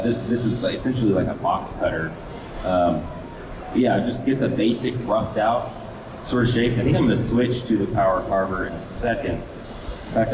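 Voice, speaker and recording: A man giving a casual live demonstration and answering questions in a hesitant, rambling, laid-back way. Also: on the low side. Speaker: guest wood carver and video maker. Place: large indoor event hall. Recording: echoey noisy event room, distant microphone.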